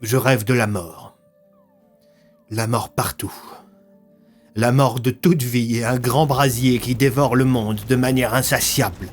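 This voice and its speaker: A man's voice: medium pitch, steady tone, measured pace, faint music behind, close to an everyday voice. Man as a brooding, troubled investigator. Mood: sombre. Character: brooding, troubled male investigator haunted by dark dreams.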